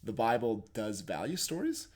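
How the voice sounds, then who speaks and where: A man co-hosting a casual podcast discussion, earnest, thinking aloud in halting phrases. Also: lowish voice, sing-song, darkish tone. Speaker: podcast co-host.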